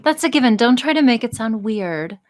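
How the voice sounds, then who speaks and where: A woman's voice: fairly high pitch, animated, a little fast. A woman talking as a podcast guest in a casual interview, blunt and joking, with plenty of swearing. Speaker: podcast guest, audio writer and performer.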